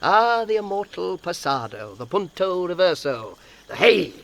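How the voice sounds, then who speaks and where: A high-pitched, mocking young gentleman. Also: very animated, measured pace, vocal fry, exaggerated voice. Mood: exuberant mockery. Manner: theatrical, playful, flamboyant wordplay. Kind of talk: Shakespearean audio drama acting.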